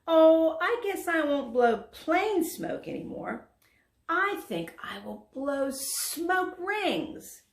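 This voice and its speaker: A woman voicing an excitable young boy dragon who shows off, exclaiming boastfully in a children's storybook read-aloud. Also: sits high, slow pace, gravelly, reverberant, voice pushed up, full character voice.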